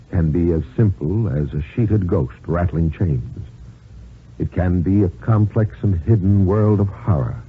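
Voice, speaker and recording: A man's voice: low voice, breathy edge. An American man hosting a 1950s radio show, giving a scripted introduction in a formal, theatrical announcer style. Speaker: radio host introducing the tale. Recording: narrow vintage 1950s radio recording.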